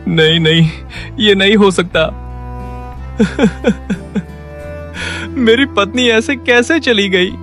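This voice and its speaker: A man playing an anguished, repentant village headman with halting, dramatic delivery in a moral story, over music. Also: sits high, fairly bright tone, exaggerated voice. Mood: anguished disbelief. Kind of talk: acted moral story dialogue. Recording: voice over clear background music.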